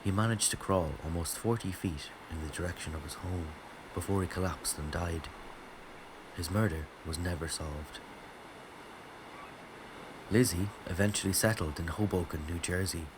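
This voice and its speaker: Low-voiced male narrator over background ambience. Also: moderate pace, background noise. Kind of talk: scripted historical drama narration.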